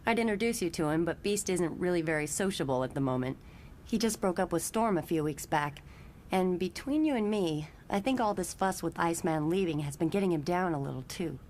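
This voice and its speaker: A knowing young woman guide, acted by a woman, with a fairly low voice. She explains things in a friendly, matter-of-fact chat, as in an animated comic. Faint rumbling noise lies underneath. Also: a little fast, natural voice. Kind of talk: expository motion comic voice acting.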